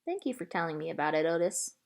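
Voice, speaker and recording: A curious, earnest little girl from a storybook, played by a woman. She is frank and questioning, and her pitch moves around in a lively way. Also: fast, vocal fry. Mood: inquisitive. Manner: direct, questioning.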